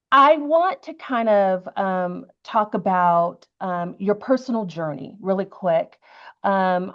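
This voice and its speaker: A woman interviewing a guest on stage in a halting, appreciative, conversational way. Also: lowish voice, highs cut off. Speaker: interviewer, middle school librarian.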